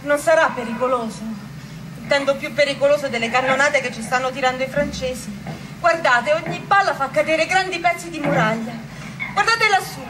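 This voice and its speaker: A woman's voice: very high voice, rough recording. A woman playing a wide-eyed young defender of a besieged city in a theatrical monologue.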